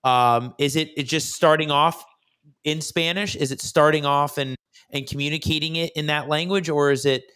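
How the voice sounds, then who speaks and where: A man hosting an interview, asking questions in a halting way and searching for words. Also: on the high side, fairly even intonation, pushed and bright, clean tone. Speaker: host.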